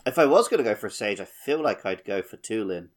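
Low-pitched man in casual gaming chat. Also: expressive, quick pace. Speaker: podcast co-host.

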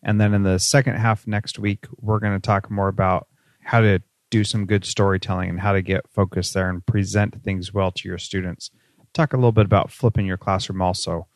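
Man, podcast host, fairly low voice, dry close sound, upbeat, chatty. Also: steady tone, brisk, no echo. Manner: upbeat and conversational, laughs easily. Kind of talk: podcast interview.

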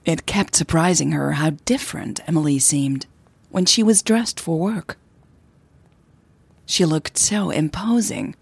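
A woman podcast host narrating an audio drama in a soft, unhurried, breathy way. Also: lowish voice, no echo. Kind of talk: audio drama storytelling with informal podcast intro.

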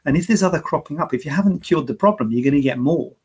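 A man's voice: on the high side, lively intonation, fast talker, a little mellow, breathy edge. A man, a plastic surgeon, hosting a live-streamed question and answer session in a chatty, joking way, explaining medical advice in plain terms. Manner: chatty, joking, self-deprecating asides. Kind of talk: live-streamed Q&A, casual chat.

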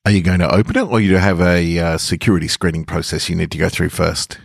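A man reading a teasing young woman, low-voiced. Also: fairly even intonation, fast, gravelly, breathy edge, no room sound, studio quality, full character voice. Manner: playful, assured. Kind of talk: short story character dialogue.

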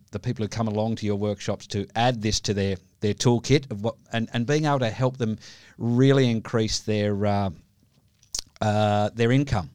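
A man's voice: mid-range voice, a little mellow, clear, resonant tone, no room sound. Affirming podcast host man, dry sound. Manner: affirming, rephrases guest's points. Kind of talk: podcast interview.

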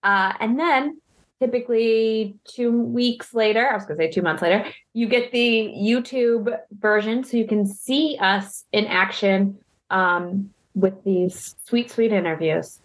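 A woman's voice: medium pitch, a little slow. A woman co-hosting a podcast over a video call, playful and joking in casual chat. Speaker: podcast co-host.

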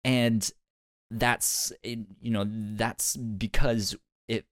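Halting man chatting on a podcast. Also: lowish voice, little pitch movement, dry recording, studio quality. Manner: halting, rambling, often repeats words. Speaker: podcast co-host. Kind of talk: casual review discussion.

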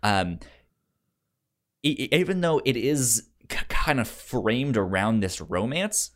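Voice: medium pitch, lively intonation, slow. A podcast co-host chatting casually about a film, stammering and often repeating syllables and words.

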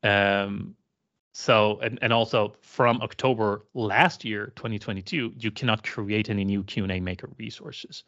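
A man's voice: fairly low pitch, steady tone, measured pace, a bit bright, muffled recording. A man co-hosting a tech podcast, talking casually and hesitantly with lots of restarts. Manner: hesitant, many fillers and restarts. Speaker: podcast co-host.

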